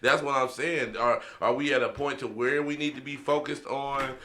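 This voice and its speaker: Guy hosting a podcast roundtable, real bright forceful voice, thinking out loud and asking the table stuff. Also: mid-range voice, steady tone, normal speed. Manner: reflective, posing questions to the table. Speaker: podcast host. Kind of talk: casual round-table discussion.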